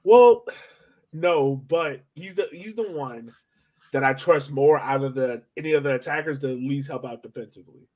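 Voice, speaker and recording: A man's voice: on the high side, moderate pace, darkish tone. A man in a casual sports podcast discussion, thinking aloud and posing questions in a tentative way. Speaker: soccer podcast co-host.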